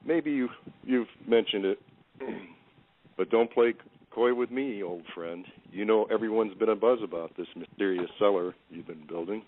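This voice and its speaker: A man's voice: on the low side, unhurried, close to an everyday voice. A man playing a suspicious, drunk older food critic in an audio play. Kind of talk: audio stage-play acting. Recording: tinny narrowband phone-like line.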